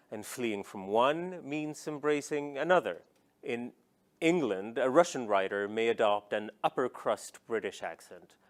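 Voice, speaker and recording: A man narrates a documentary in a reflective, steady storytelling manner. His mid-range voice swings widely in pitch. The reading is clearly scripted.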